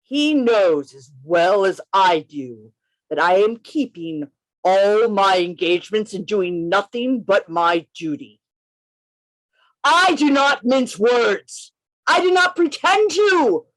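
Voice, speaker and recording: A woman's voice: medium pitch, expressive, no echo, low-quality recording. A woman playing a bold, defiant male undercover detective in an amateur radio play reading, with emphatic, declamatory delivery over a video call. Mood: defiant oratory. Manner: declamatory, emphatic, deliberate phrasing.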